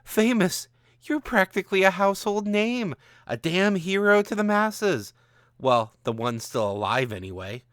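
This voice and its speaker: A man's voice: high-pitched, expressive, unhurried, fairly bright tone, no room sound, studio quality, voice pushed up. A man voicing a bitter, angry gunman from the future with a mocking, ranting manner in a story.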